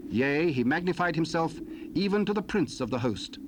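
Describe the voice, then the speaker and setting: A man narrating scripture in a steady, solemn manner on a 1970s dramatized recording. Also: fairly high pitch, normal speed. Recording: hissy cassette tape.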